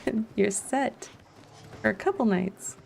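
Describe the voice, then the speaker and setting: A woman's voice: fairly high pitch, expressive, takes it slowly, vocal fry, background noise. A woman streamer giving casual live gameplay commentary, chatty and thinking aloud as she goes. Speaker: game streamer.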